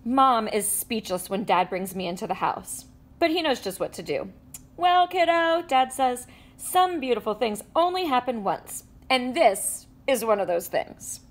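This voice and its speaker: Lively woman reading a picture book aloud. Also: a little high, measured pace. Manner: animated storytelling with sound effects. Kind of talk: expressive read-aloud. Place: indoors at a table with a book.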